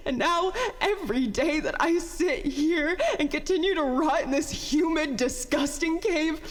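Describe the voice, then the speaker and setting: Woman acting as a high-voiced, bitter young inventor, reverberant. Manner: halting, self-deprecating, emotional. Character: bitter, worn-out young inventor pouring out old hurts. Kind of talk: audio drama monologue, confessional. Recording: long hall-like reverb on voice.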